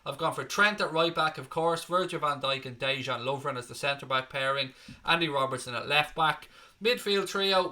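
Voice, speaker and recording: A young man with an Irish accent talks through viewer comments on a live stream in a loose, blunt, chatty way, dropping the odd swear word. His voice has a very bright, forceful edge that cuts through. The pitch sits in an ordinary middle range. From Ireland. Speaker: fan channel host.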